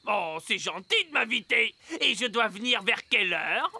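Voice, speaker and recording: An actor voicing a greedy, cheerful and apologetic male gnome in a French cartoon dub, with a raspy voice. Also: medium pitch, lively intonation, normal speed, sharp tone, heavily acted. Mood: delighted.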